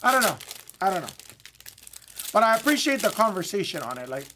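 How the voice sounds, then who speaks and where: A man's voice: high-pitched, very animated, a little fast, sharp tone, a little hiss or hum. A man with a Hawaiian accent chatting casually as a livestream host. He rambles, repeats his words and laughs often. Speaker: livestream host of card breaks.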